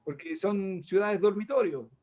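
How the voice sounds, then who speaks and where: A high-voiced older man with a Chilean accent, speaking in a reflective, wandering way that is full of restarts and fillers. The sound is muffled and low-fidelity, like the audio of an online call. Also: measured pace, darkish tone. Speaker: guest artist. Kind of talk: casual panel discussion.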